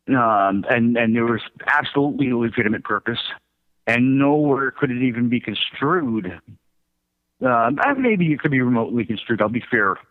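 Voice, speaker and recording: A middle-aged man telling his own story as a radio interview guest, in a halting, self-correcting way. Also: mid-range voice. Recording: thin phone-like line.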